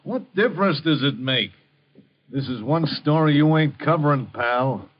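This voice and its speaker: Cocky, menacing radio-drama gangster. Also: male voice, on the high side, expressive, measured pace. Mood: cocky, sneering. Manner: sneering tough-guy slang, wisecracking threats. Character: menacing, cocky male gangster holding a gun. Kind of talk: 1950s radio crime drama acting. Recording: dull, band-limited 1950s radio recording.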